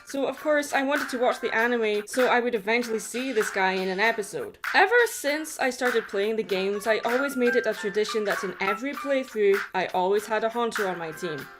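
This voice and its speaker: A woman with a fairly high voice narrates a scripted video essay over clear background music. Her delivery is expressive and drops into conversational asides. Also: a little fast, hardly any pauses.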